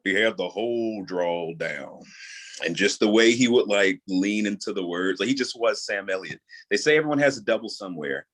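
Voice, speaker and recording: Very deep-voiced, soothing American man. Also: moderate pace, fairly bright tone. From New York, USA. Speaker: guest, audio drama creator and voice actor. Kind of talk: casual interview chat.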